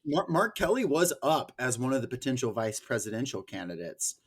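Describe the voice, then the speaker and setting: A man's voice: mid-range voice, sing-song, fairly quick, husky. Sardonic man, casual political commentary. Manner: sardonic, profane. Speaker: podcast co-host.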